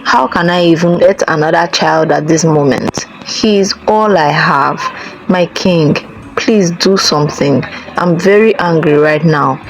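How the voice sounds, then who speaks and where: Woman reading a distraught mother in a folktale, low voice, desperate begging. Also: measured pace, rough recording. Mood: grieving plea. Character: grieving, distraught village mother.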